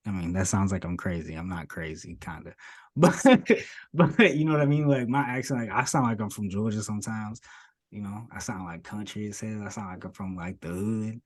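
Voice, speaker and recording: A young man from south of Boston with a Boston accent, co-hosting a casual podcast, animated and laughing often as he slips into put-on voices. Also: on the low side, a little fast. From Massachusetts, south of Boston. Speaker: podcast co-host.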